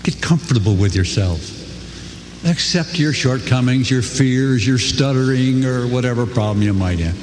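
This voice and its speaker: An older man giving a folksy, earnest motivational speech to a crowd, his pitch swooping for emphasis. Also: expressive, moderate pace, a little mellow, a little hiss or hum. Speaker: company co-founder speaking to a crowd. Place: large hall before an audience. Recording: echoey hall, faint ambience.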